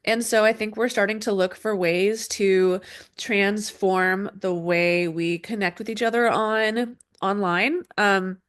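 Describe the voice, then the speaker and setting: A woman's voice: mid-range voice, fairly even intonation, takes it slowly, a bit bright, clean tone. A woman hosting an astrology podcast, speaking loosely with many ums and restarts, a little sniffly and congested from a cold. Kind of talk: casual solo podcast talk. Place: indoor room with dogs. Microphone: desk setup with camera and screen share.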